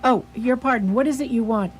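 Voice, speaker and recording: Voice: mid-range voice, brisk, slight background noise, lightly acted. A performer playing a brusque, weary, sardonic asylum doctor in a radio play, curt and dry. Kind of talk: radio theatre acting.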